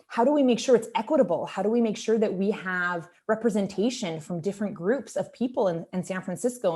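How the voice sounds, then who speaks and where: A woman doctor whose home language is Lithuanian presents on a video call panel, earnest and quick to restart her sentences. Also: medium pitch, darkish tone. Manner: earnest, strings questions together with restarts. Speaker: emergency physician presenting for a hospital team.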